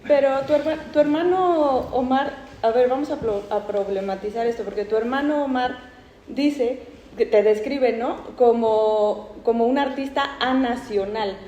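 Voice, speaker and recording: A woman with a mellow, somewhat high voice leads a conversation with long, winding questions, restarting phrases as she shapes them. The sound is roomy and a little dull, with faint background noise from the event room. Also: unhurried. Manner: probing, discursive questions with self-corrections. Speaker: curator and moderator. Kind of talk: moderating a book presentation. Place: cultural institute event room.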